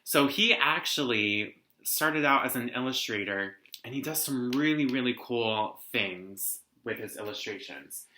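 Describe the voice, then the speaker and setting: Bright-voiced young man in an echoey room. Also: medium pitch, expressive, a little slow, few pauses, a little hiss or hum. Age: young adult. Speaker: read-aloud host, teacher. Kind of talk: casual picture-book read-aloud for students. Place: living room at home. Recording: roomy indoor echo.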